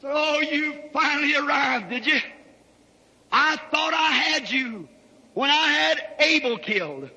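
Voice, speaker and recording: A man's voice: extremely high pitch, animated, slow, bright, forceful tone, heavily acted. A male preacher voicing a gloating, taunting devil in a sermon story, drawing out the words. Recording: reverberant hall recording.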